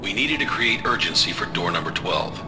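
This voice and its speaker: A man playing an unapologetic spy agency chief in an audio drama, measured, controlled and authoritative. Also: low-pitched, lively intonation, over loud music. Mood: matter-of-fact.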